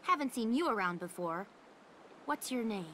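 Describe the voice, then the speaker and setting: A woman playing a brisk, suspicious young town watch guard in a video game, spirited and bossy. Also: a little high, expressive, bright, forceful tone, slight background noise. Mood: stern.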